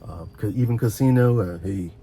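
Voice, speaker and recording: A man's voice: on the low side, moderate pace, mellow, a little hiss or hum. A man giving rambling, unscripted advice as a video host, earnest and encouraging, often repeating words and restarting as he speaks straight to the listener.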